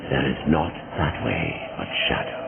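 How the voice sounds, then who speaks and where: A man's voice: very deep voice, very animated, moderate pace, creaky, heavily acted. An actor voicing an ancient male guardian spirit who speaks in a grave, portentous way. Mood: ominous. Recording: lo-fi tinny audio with loud noise.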